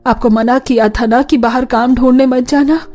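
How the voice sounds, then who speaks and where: A woman's voice: high voice, fairly even intonation, moderate pace, warm tone, soft background music. An actress playing a worried, long-suffering woman of a poor village family in a plaintive, melodramatic cartoon style. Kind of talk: Hindi cartoon dubbing.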